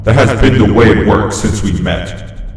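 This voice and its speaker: A man's voice: on the low side, normal speed, close to an everyday voice. A man voicing a sinister toy doll, a gleeful, possessive old lady who taunts sweetly in a horror story reading. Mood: possessive taunting. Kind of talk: horror fan fiction reading. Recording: cavernous echoing reverb, faint noise.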